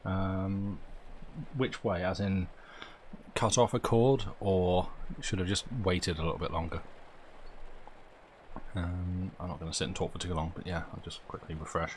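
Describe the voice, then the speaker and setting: Low-voiced man chatting on a noisy stream. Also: fairly even intonation, measured pace, pauses often, darkish tone. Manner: chatty, informal, rambling. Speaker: live stream host and audiobook reader. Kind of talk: casual livestream chat.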